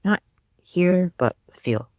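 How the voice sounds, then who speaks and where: A woman voicing a gentle, wistful young woman in an audiobook drama, soft and expressive. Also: fairly low pitch, slow pace, no room sound, lo-fi sound, voice pushed up.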